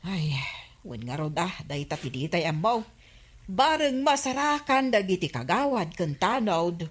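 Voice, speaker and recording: A woman's voice: on the low side, sing-song, normal speed. An actress with an Ilocano accent playing a sympathetic, gossipy village woman in a radio comedy drama. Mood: concerned, gossipy.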